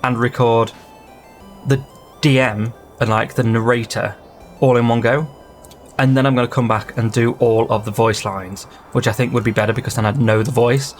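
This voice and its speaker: Man, middle pitch, loose chatty gameplay talk, faint music behind. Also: steady tone, moderate pace. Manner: rambling, thinking aloud, easily sidetracked. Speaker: gaming channel host. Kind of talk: casual gameplay commentary.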